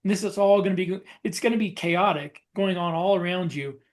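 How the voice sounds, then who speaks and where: A man's voice: high voice, fairly quick. A man co-hosting a writers' podcast, explaining things in a casual panel discussion. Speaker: podcast co-host and military science fiction author.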